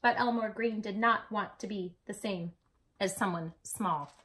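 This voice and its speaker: A woman storytime host reading a picture book aloud to children in an expressive, dramatic way. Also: medium pitch, unhurried. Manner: animated, dramatic delivery for children.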